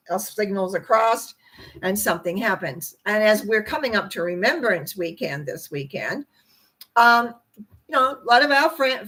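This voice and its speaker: An older woman with a hoarse, croaky voice, giving an informal spiritual talk to camera in a rambling, folksy way with asides. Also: mid-range voice, fairly bright tone, poor audio. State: hoarse after a treatment, clearing throat. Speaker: host, tarot reader and spiritual teacher.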